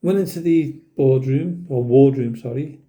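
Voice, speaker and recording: Male podcast guest, casual storyteller, very dark mellow tone, medium pitch, acts out the quotes. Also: unhurried. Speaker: podcast guest telling his paranormal experiences.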